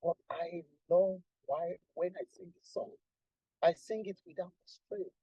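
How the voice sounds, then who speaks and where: A man from Nigeria with a husky voice, a guest musician talking at length in a casual interview and restarting his sentences often. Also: sits high, fairly even intonation, measured pace, mellow, no room sound, low-quality recording. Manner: rambling, repeats and restarts, lists with emphasis.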